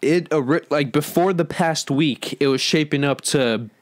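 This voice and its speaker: A man co-hosting an entertainment podcast, talking casually through box-office figures with halting restarts. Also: a little high, no echo.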